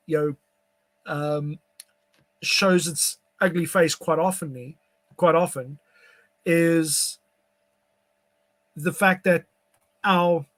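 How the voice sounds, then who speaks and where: Halting South African man, fairly high voice. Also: no room sound. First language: Afrikaans. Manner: halting, many fillers and restarts. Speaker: podcast guest. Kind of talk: casual conversation.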